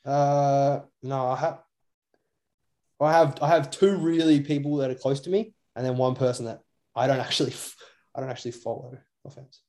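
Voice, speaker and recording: A man's voice: mid-range voice, fairly even intonation, normal speed, dark tone. Slangy young Australian man, rambling away. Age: young adult. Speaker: podcast co-host. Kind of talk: casual chat.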